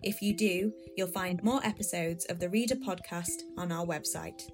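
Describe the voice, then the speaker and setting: A woman's voice: lowish voice, moderate pace. A woman reading a scripted podcast announcement as its announcer. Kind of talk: scripted podcast intro and promo. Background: plucked guitar music.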